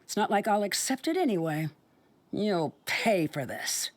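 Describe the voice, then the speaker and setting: An actress playing a bitter, accusing student researcher in a video game, scornful and emphatic. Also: female voice, mid-range voice, very animated, unhurried, slight background noise. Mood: bitter, threatening. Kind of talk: video game dialogue acting.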